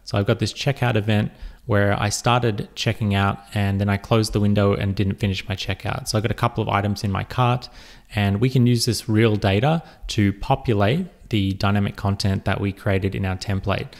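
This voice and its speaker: A man giving a relaxed step-by-step software tutorial over a screen recording, with frequent fillers. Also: lowish voice, moderate pace.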